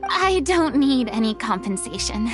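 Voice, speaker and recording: An actress playing a poised, theatrical young former stage star in a video game, speaking eloquently and formally. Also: female voice, on the high side, very animated, a little slow, music in the background. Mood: resolute, reflective. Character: poised, theatrical young woman, retired stage star, proud yet sympathetic. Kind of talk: video game dialogue acting.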